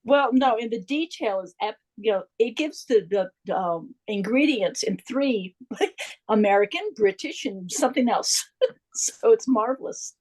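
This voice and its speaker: A woman chatting in a gushing, halting way as a reader on a book club video call. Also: on the high side, expressive, a little mellow, poor audio. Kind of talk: casual chat.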